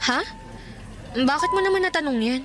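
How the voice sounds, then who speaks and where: High-voiced young fiancée, gentle, torn. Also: female voice, expressive, normal speed. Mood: troubled, hesitant. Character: young engaged woman, gentle, hesitant and torn. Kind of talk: Tagalog radio drama acting. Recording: music bed behind studio dialogue.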